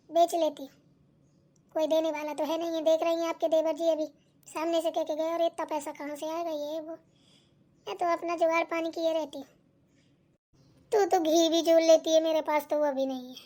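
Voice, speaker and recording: A woman's voice: sits high, level tone, normal speed, long pauses, dark tone, close to an everyday voice. A woman voicing grumbling, gossipy village women in a family cartoon, talking in a colloquial, complaining way. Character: chatty village daughters-in-law and nagging mother-in-law, grumbling.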